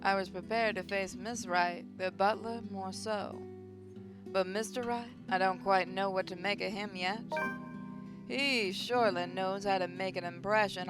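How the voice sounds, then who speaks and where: A woman reading a visual novel aloud as expressive first-person story narration. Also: mid-range voice. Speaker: gaming channel host reading a visual novel. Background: guitar music.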